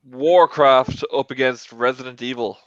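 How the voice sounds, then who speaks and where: A man's voice: mid-range voice, measured pace, no room sound, dull audio. A man hosting a film tournament talk show with casual banter, introducing and moving things along.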